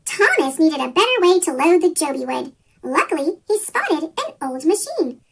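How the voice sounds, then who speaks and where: A children's story reader with a pitch-shifted chipmunk voice, reading a picture book aloud from a script. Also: sing-song, normal speed, vocal fry, a bit bright. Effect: sped-up chipmunk pitch shift.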